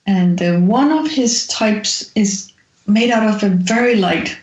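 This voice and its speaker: A woman in her forties who grew up in Denmark speaks as an interview guest. She explains in a thoughtful, halting way, stopping often on fillers. Her voice sounds roomy and muffled, like lo-fi remote audio. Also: mid-range voice, a little mellow. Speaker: guest musician.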